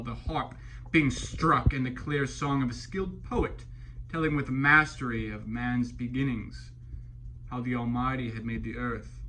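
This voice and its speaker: A man narrating epic verse as a dramatic storyteller, solemn and theatrical, with deliberate pauses and swells. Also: mid-range voice, pushed and bright. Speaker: storyteller performing an epic poem. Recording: clearly noisy recording, background ambience.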